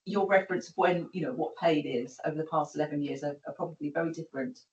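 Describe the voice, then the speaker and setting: A lower-voiced woman speaks up at a meeting, her comments winding and breaking off as she thinks. She sits away from the microphone, so her voice comes through roomy and distant. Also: quick pace, muffled recording. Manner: rambling, broken-off phrases. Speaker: board member. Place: meeting room. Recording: echoey room, far from the mic.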